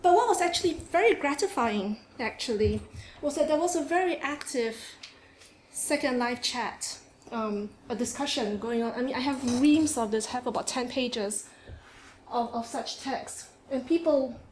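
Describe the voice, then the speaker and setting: A woman with a Singaporean accent giving an academic conference talk in a seminar room, lively, with frequent "um"s and laughs. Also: high-pitched, moderate pace. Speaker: conference speaker. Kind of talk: academic presentation. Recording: echoey room, faint noise.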